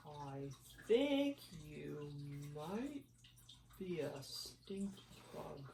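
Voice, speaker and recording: A woman voicing a deadpan storybook snail who drags out words haltingly. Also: sits low, vocal fry, voice pushed down, heavily acted. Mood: slow, deliberate. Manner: drawn-out, halting. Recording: echoey room, distant mic, background noise.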